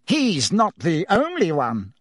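A man's voice: high voice, sing-song, moderate pace, a bit bright, no echo, studio quality, pitched above the performer's own voice, full character voice. A man voicing a blustering, fretful male government minister who barks and puffs. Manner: blustering and barking.

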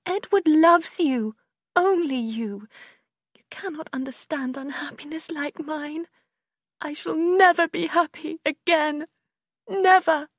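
A heartbroken young woman, acted out by a female narrator, pitched high and full of emotion. She is passionate and self-pitying, pleading and tearful in the manner of an audiobook of a classic novel. The sound is close and dry. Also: animated, measured pace, darkish tone, husky, no echo, heavily acted. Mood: despairing, crying out. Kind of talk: audiobook character acting in a simplified classic novel.

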